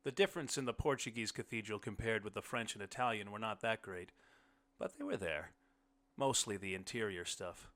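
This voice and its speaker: A man's voice: lowish voice, fairly quick, fairly bright tone. A man reading a short story aloud as scripted first-person audiobook narration.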